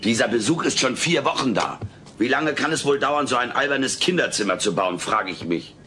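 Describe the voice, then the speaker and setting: An actor playing a wisecracking, self-centred furry alien in a sitcom audio play, sardonic and cheeky. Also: male voice, mid-range voice, expressive, moderate pace, natural voice. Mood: wry. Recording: noisy old cassette audio.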